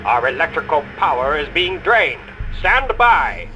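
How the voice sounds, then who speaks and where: A man playing a formal 1960s television announcer with measured broadcast delivery in a comic book dramatization. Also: fairly high pitch, sing-song, music in the background, rough recording.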